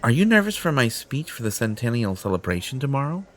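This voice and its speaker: A man's voice: mid-range voice, sing-song, quick pace, slight background noise, close to an everyday voice. A man playing a smug, possessive, entitled superhero in an audio roleplay, pompous and condescending in manner. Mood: smug, coaxing. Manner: pompous, self-satisfied, condescending. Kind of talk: audio roleplay acting addressed to the listener.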